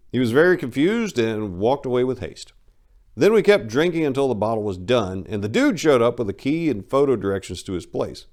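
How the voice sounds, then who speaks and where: A man with a lowish voice gives a dramatic reading of a first-person memoir. He tells it in a colloquial way, brash and wry throughout. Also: darkish tone. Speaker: narrator.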